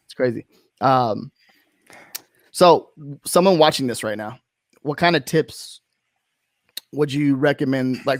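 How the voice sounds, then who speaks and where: A man's voice: mid-range voice, no room sound, clean studio sound. A man hosting a casual video-call interview, rambling with frequent restarts and fillers. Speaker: host.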